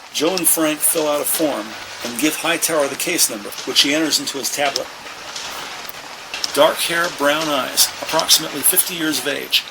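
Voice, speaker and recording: An actor narrating a police procedural audio drama as a measured, factual male storyteller. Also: on the high side, vocal fry, noisy background.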